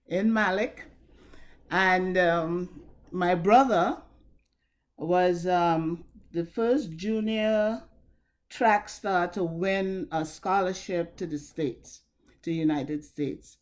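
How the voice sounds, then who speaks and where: Slow-spoken older Trinidadian woman. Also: on the low side. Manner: measured, reflective, wry asides. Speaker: storyteller. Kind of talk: personal storytelling at a virtual story slam. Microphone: video call.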